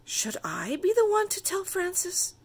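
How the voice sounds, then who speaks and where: A woman's voice: very high-pitched, wildly swooping pitch, unhurried, pitched above the performer's own voice, full character voice. A woman voicing a bossy, dramatic little girl princess who makes grand declarations in a children's storybook. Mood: dramatic, worried. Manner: grand, self-important declarations.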